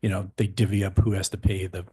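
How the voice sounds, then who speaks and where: A man chimes in at a local board meeting with a very low, husky voice. He talks in a measured, agreeable way, often stopping to restart his phrases. His delivery stays calm and unhurried. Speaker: board member. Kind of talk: public meeting remarks.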